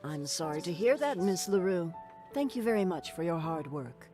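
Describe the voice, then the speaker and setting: A courteous female professor, voiced by a woman, speaking in a formal, measured way. Her voice is on the low side yet lively, with faint music underneath. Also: natural voice. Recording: game audio with faint music.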